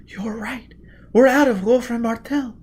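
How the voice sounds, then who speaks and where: A man voicing an exhausted, frightened young woman in an audiobook, with a thin, breathy voice. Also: mid-range voice, slow, darkish tone, voice pushed up. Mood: incredulous whisper.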